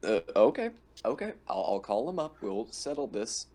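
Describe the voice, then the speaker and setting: Man, game player, fairly low voice, casual chat with acted lines. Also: big pitch swings, normal speed, a little mellow.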